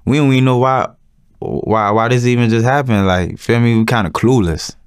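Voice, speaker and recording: A young man answering interview questions casually, with slangy, halting, repetitive phrasing. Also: mid-range voice, smooth, no echo, studio quality. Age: young adult.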